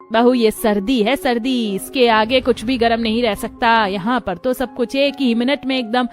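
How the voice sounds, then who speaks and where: A woman's voice: fairly high pitch, fairly even intonation, moderate pace, faint music behind, close to an everyday voice. A woman playing a fussy, complaining female relative in a moral story. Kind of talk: acted dialogue in a moral story.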